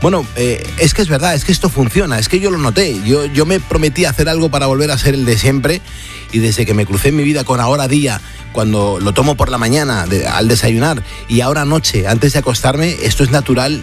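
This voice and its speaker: A man's voice: mid-range voice, fairly quick, soft background music. A man hosting a late-night radio show, talking casually with frequent fillers and restarts. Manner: chatty, hesitant fillers and restarts. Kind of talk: casual on-air chat and live ad read. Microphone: studio broadcast mic.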